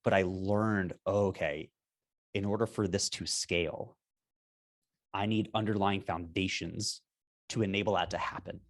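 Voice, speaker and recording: Emphatic man, low-pitched voice. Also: a little slow. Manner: energetic, emphatic, keeps checking in with "right?". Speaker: software company founder, guest. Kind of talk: casual business interview.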